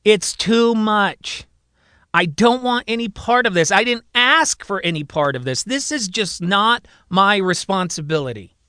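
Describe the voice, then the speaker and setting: A man's voice: sits high, expressive, unhurried, sharp tone, clear, resonant tone, dry recording, clean studio sound, pitched above the performer's own voice, exaggerated voice. A man voicing a reluctant, exasperated woman doctor in dramatised podcast storytelling. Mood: overwhelmed.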